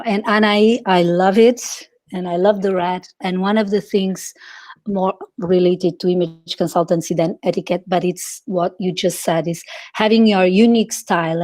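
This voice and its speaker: A woman from Portugal, an etiquette consultant, chatting on a video call with lively restarts. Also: fairly low pitch, normal speed. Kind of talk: casual video call chat.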